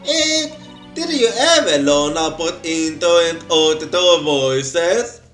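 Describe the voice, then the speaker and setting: A man reading game dialogue as an eager, excitable young woman lawyer, lifting his voice higher and brighter. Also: takes it slowly, roomy sound, faint music behind. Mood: excited.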